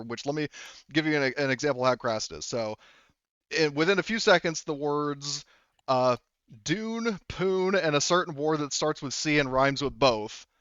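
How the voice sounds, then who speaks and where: A man runs a tabletop roleplaying game, improvising the narration. His voice is slightly high and smooth, recorded dry and close. He speaks casually and swears freely. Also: a bit bright, dry recording, lo-fi sound. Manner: casual and profane. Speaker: game master.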